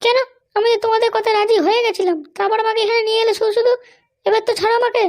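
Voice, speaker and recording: Woman playing bossy, indignant cartoon lady politician, tiny childlike voice, extremely high, rapid scolding delivery. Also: flat delivery. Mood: bargaining, complaining. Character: haughty, threatening female political boss held in jail. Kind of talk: Bengali comedy cartoon dialogue.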